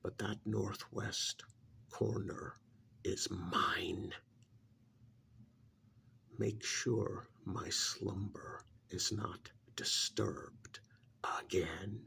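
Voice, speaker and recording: Man voicing a slow, gravelly, disdainful ancient creature. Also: fairly low pitch, fairly even intonation, a bit bright, full character voice. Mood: menacing, stern. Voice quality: gravelly, husky, breathy half-whisper. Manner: menacing, contemptuous, deliberate. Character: ancient disdainful male creature possessing a dead man's body. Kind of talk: ASMR roleplay, slow dramatic monologue.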